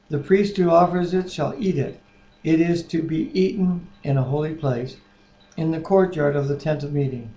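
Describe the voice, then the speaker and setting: A man in his sixties reading scripture aloud in a measured, deliberate way, with brief bits of chat. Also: on the high side, dark tone. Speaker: Bible reader and co-host. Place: living room at home, indoors. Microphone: webcam at arm's length. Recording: roomy, echoing webcam sound.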